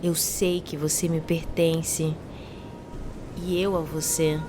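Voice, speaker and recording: A woman playing a seductive, sassy mermaid in an audio drama, speaking in a soft, delicate, beguiling way. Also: low voice, level tone, takes it slowly, noisy background. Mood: tender, seductive.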